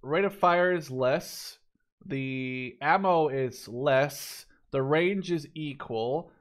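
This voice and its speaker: Young man, native Dutch speaker, slightly high voice, casual gaming commentary, rambling, repeats words for emphasis. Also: takes it slowly. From Netherlands. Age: 20s. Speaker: gaming YouTuber. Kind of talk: casual unscripted game review commentary.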